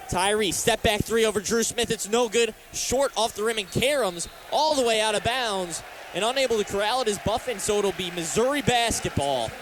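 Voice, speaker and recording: A man's voice: high voice, normal speed, a bit bright. An American man giving live basketball play-by-play commentary as a radio announcer from courtside. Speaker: radio play-by-play announcer and sports talk host. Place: courtside in a sports arena. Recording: live arena broadcast with faint background noise.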